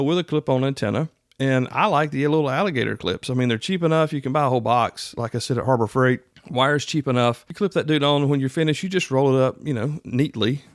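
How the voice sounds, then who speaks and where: A man's voice: mid-range voice, no room sound. Middle-aged man, dry close sound, folksy podcaster. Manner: folksy, conversational. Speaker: podcast host. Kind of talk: casual instructional podcast talk.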